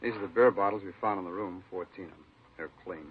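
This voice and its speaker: A low husky crime lab cop, methodical, clipped lines, old radio show. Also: male voice, fast. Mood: matter-of-fact. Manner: clipped, understated. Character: laconic, methodical male police crime lab sergeant. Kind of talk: 1950s radio drama acting. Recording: dull old-time radio recording.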